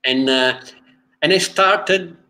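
A man in his forties with an Italian accent, guest on a video call interview, speaking haltingly and searching for words. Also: fairly high pitch, pushed and bright. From Italy. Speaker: guest filmmaker. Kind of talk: casual interview chat. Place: home room, indoors. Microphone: video call webcam.

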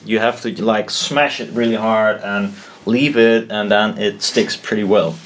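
A man explaining a homemade build informally at a desk, thinking aloud, blunt and halting, often correcting himself. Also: on the low side, fairly even intonation, a little hiss or hum. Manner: halting, self-doubting, trailing off and correcting himself. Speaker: maker explaining a DIY build. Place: indoors at a desk.

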